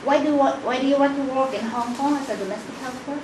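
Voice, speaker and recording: A woman interviewing a job applicant, asking brisk questions with frequent "okay, uh" fillers. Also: high-pitched. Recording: echoey room, distant mic, background noise.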